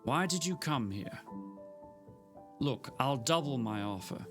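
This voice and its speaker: A man's voice: mid-range voice, lively intonation, slow pace, music in the background, pitched above the performer's own voice. A man playing a cold, dismissive rich man. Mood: curt. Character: wealthy older brother, cold and dismissive.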